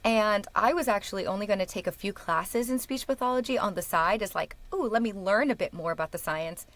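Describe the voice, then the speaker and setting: A woman's voice: mid-range voice, fairly quick, a bit bright. A woman speaking as a podcast guest and speech-language pathologist, chatting enthusiastically, laughing often and restarting her sentences. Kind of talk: casual interview chat.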